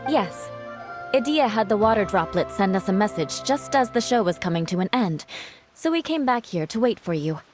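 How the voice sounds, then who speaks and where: A woman voicing a composed, faintly stern young woman in an anime game, measured and matter-of-fact. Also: mid-range voice, very animated, darkish tone, faint music behind, close to an everyday voice. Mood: composed, dry. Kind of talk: English anime game voice acting.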